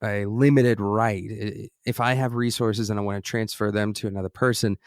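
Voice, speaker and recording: An American man hosting an interview podcast, speaking conversationally and haltingly, thinking aloud and rephrasing as he goes. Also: fairly low pitch, steady tone, dry recording, clean studio sound. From United States. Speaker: podcast host interviewing a guest. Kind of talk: conversational interview, long musing questions.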